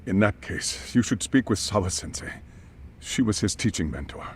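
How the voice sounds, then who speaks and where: An actor playing an anxious, apologetic school chairman in a video game, gravelly and hesitant, with formal phrasing. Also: on the low side, lively intonation, normal speed, slight background noise. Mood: hesitant, helpful. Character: anxious, apologetic male school chairman, middle-aged or older. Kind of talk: video game cutscene acting, English dub.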